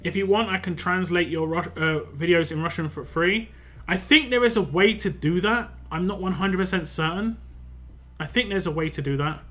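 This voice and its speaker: A man's voice: high voice, bright, forceful tone. A young man with a West London accent, chatting casually and rambling with restarts while streaming a game. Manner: rambling, talks fast with frequent restarts and fillers. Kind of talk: casual live-stream chat while gaming.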